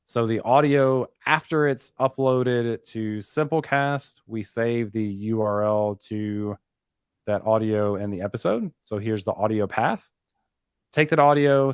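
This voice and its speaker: A man's voice: medium pitch, clean tone, no room sound, studio quality. A man giving a casual technical walkthrough as a guest developer, with a joking, self-deprecating manner.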